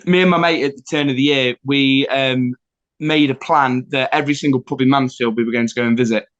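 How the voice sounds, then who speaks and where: A man's voice: mid-range voice, steady tone, a little fast, fairly bright tone, highs cut off. A young man from Nottingham, chatting as a musician guest in a self-deprecating way with lots of fillers. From Nottingham, England. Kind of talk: casual interview chat.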